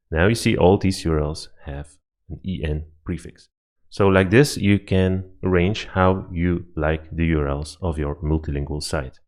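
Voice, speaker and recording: Low-voiced Dutch man explaining software. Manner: plain step-by-step explaining with slight non-native phrasing. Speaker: software tutorial presenter. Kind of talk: screen-recorded software walkthrough.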